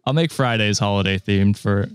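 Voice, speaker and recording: A man co-hosting a podcast in casual chat, with a monotone voice, self-deprecating and stammering a little. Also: fairly low pitch, moderate pace, clean tone, dry recording. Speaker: podcast co-host reading reviews.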